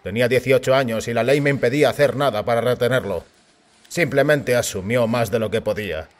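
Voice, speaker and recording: A man voicing a kindly but firm, grieving middle-aged father in an audiobook, measured and tense. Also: mid-range voice, close to an everyday voice. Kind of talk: audiobook character voice.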